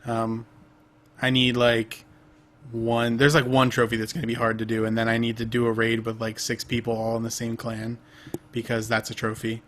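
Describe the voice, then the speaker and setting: A man's voice: medium pitch, completely flat delivery, normal speed, a bit bright, slight background noise. A man co-hosting a podcast, chatting casually about games over a remote call, rambling with lots of fillers and restarts. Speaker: podcast co-host. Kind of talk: casual gaming chat.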